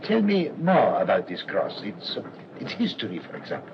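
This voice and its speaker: A man's voice: on the low side, wildly swooping pitch, normal speed, gravelly, exaggerated voice. An actor playing a suave, inquisitive foreign clergyman in a radio play, unctuously courteous and probing. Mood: probing, intrigued. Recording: dull, noisy old radio recording.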